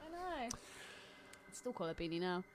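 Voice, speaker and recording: A woman's voice: on the low side, very animated, normal speed, crowd noise. A young British woman co-hosting a podcast, joking crudely and irreverently in casual film chat. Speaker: podcast co-host.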